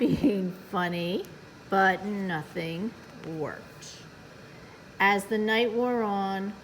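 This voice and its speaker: Unhurried woman reading a children's story. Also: mid-range voice, a little hiss or hum, rough recording. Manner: playful, deliberate storytelling with asides to the listeners. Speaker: children's librarian, storytime host. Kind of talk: picture book read-aloud for young children, with chatty asides.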